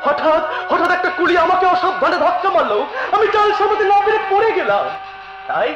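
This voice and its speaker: An actor playing a devoted, earnest younger brother in Bengali folk theatre, speaking in a declamatory, emotional way. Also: male voice, extremely high pitch, big pitch swings, fairly quick. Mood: pained. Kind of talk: Bengali folk theatre (jatra) stage acting. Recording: echoey, dull stage recording with music.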